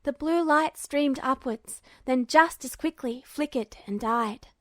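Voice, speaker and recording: A woman's voice: high-pitched, unhurried, no room sound, studio quality. A woman reading fan fiction as scripted story narration.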